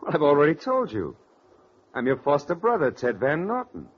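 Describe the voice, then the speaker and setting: A self-assured, breezy pretender in 1940s radio drama. His husky voice swings very widely. The recording is old and muffled. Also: on the high side, big pitch swings, moderate pace. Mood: amused, breezy. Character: breezy, self-assured man claiming to be a returned brother. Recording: dull vintage radio recording.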